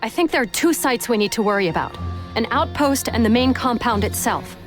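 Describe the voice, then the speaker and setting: An actress plays a tough female agent from a video game. She gives orders briskly with a teasing, self-assured edge. Music plays under the voice. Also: a little high, animated, lightly acted. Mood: confident, teasing. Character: tough, confident American female special agent. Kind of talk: video game cutscene acting.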